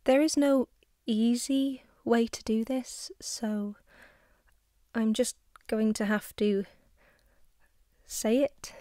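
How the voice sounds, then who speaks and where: Actress as a halting, nervous woman, slowly spoken. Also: on the high side, steady tone, mellow, no room sound, studio quality. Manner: halting, hesitant. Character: awkward, nervous woman confessing love. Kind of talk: theatrical dramatic monologue.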